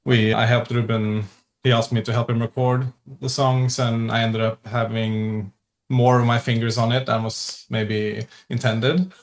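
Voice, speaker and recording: A man speaks English as a podcast guest. His voice sits at a mid pitch. He searches for his words and restarts his sentences as he goes. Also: little pitch movement, a little slow. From Norway. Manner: hesitant, with restarts and laughs. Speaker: musician guest. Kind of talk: casual interview chat.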